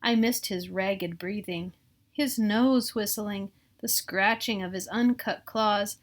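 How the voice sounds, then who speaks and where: A woman's voice: medium pitch, takes it slowly. A middle-aged woman with an American accent reads a picture book aloud, adding casual asides. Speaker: storybook reader. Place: small room at home.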